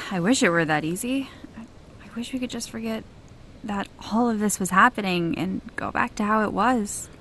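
An actress playing a gentle, wistful young traveller in an audio drama, hesitant and soft-hearted. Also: female voice, mid-range voice, moderate pace, slight background noise, close to an everyday voice. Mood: resigned.

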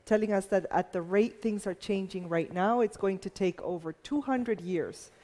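A middle-aged woman makes her points earnestly and persuasively on a panel. Her voice is mellow and dark, and a long hall reverb trails behind it. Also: mid-range voice, moderate pace. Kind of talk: panel discussion. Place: large hall with a live audience.

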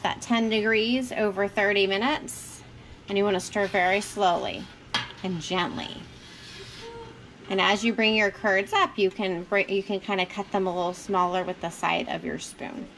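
A woman with a mid-pitched voice talks through a homemade recipe step by step. Her delivery is informal and casual, like a homesteader showing viewers her routine. She is recorded in a home kitchen on a nearby camera, with clear background noise and clicks. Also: a bit bright. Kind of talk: casual how-to narration while cooking.

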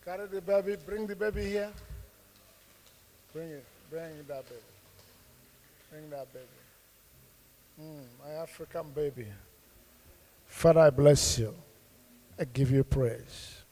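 Slow, fervent pastor praying over families. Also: male voice, fairly high pitch, mellow, long reverb tail, slight background noise, poor audio. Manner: slow, fervent blessing prayer. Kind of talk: church service prayer and welcome. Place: sunlit church hall. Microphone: handheld microphone.

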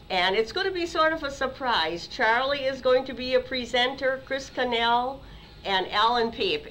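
A woman in her sixties closing a community meeting with friendly announcements, pausing on fillers. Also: a little high, fairly bright tone, slight background noise, low-quality recording. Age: 60s. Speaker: group organizer. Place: meeting room.

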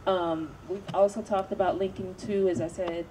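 Woman panelist, lower voice, halting and thoughtful; captured from afar outdoors with steady background noise. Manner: thoughtful, many fillers and restarts. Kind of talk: panel discussion answer. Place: outdoor evening event. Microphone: handheld microphone. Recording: distant camera audio, noisy outdoors.

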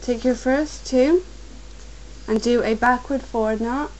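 Young woman tutor, getting a cold, calm and repetitive, recorded on a computer mic at her desk. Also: a little high, slight background noise, poor audio. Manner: patient, repetitive instructions, slow and careful at times. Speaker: crafts tutorial maker. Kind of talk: step-by-step craft instruction.

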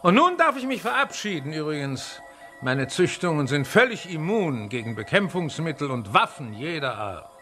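An actor playing a gloating mad scientist villain in an audio drama, mockingly polite and theatrical. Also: male voice, on the high side, big pitch swings, moderate pace, sharp tone, faint music behind. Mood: mocking, menacing. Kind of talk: German audio drama acting.

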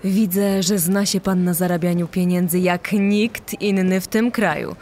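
A woman's voice: lowish voice, fairly even intonation, measured pace, a little mellow, natural voice. A woman playing a rich, trusting older widow, admiring and eager, in a true-crime dramatization. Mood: admiring, curious.

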